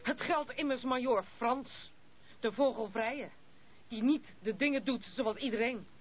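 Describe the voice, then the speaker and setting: An actress playing a proud, troubled young noblewoman in a Dutch radio play, guarded and defiant, with halting speech. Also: a little high. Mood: bitter, defensive. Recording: noisy, thin phone-like old radio recording.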